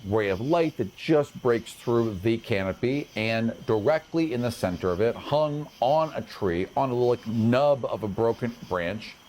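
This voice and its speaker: A man's voice: medium pitch, lively intonation, normal speed, few pauses, darkish tone. Man running a fantasy game, noisy background. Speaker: game master. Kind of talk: improvised tabletop fantasy game narration. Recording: background noise behind the voice.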